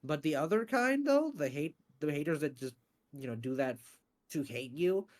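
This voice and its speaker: Animated young man in casual chat. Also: fairly high pitch, moderate pace. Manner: animated, swears freely, many fillers and restarts. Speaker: interview guest, voice actor and scriptwriter.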